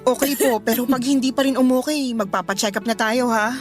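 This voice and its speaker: A caring young wife acted by a woman pleads earnestly in a high voice. She sounds devoted, respectful and anxious, in radio drama style with light music underneath. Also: fairly even intonation, brisk, natural voice. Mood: worried. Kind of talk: Filipino radio drama acting.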